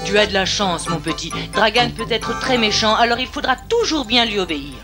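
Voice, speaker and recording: A woman's voice: medium pitch, expressive, fairly quick, natural voice. Woman acting a motherly gorilla, music behind. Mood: gentle. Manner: tender with the young, firm when defending. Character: protective, motherly female gorilla adopting a baby. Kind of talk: French cartoon dub acting.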